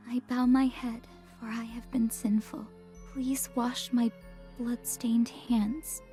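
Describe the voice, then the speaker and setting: A woman's voice: a little high, warm tone. A woman playing a timid, obedient young girl in a visual novel, hesitant, meek and devout. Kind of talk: video game character acting.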